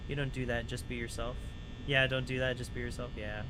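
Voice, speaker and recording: A man streaming a game and chatting casually with viewers in a soothing, calm voice, rambling with lots of "like" and "you know". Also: mid-range voice, a little fast, noisy background. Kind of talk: casual chat with stream viewers while gaming.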